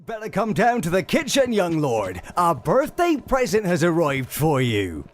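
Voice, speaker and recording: A man's voice: high voice, huge pitch swings, unhurried, exaggerated voice. A man playing a kindly, folksy household servant in a fantasy game, gossiping in a chatty way. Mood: friendly, curious. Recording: music behind. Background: music.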